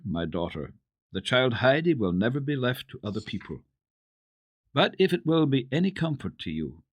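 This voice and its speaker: A hearty, generous gentleman from a storybook, played by a man reading aloud. He speaks effusively and with emphasis, his pitch swinging widely. The sound is dry. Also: dry recording, heavily acted. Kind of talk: dramatic reading of children's classic.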